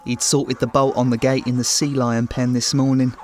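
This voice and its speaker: An actor plays a self-pitying husband in a comedy radio play. His mid-pitched voice blusters defensively and stumbles dimly along, with faint noise behind it. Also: level tone, moderate pace, natural voice. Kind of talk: comic audio drama acting.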